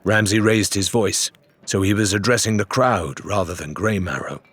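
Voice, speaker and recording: Low, husky, measured male audiobook narrator. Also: fairly bright tone, dry recording. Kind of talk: dramatic scripted narration.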